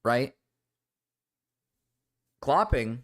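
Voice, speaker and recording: A young man streaming and ranting to his chat, rambling with restarts and fillers, his voice going low and cracking. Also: sing-song, very slow, fairly bright tone. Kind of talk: casual livestream rant to chat.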